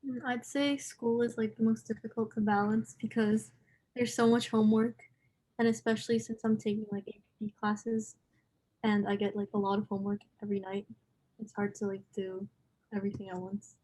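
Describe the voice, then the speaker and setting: A teenage girl, a high school senior, talking casually with many likes as a panelist on a video call. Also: flat delivery, moderate pace, mellow, low-quality recording. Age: teens. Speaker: student panelist.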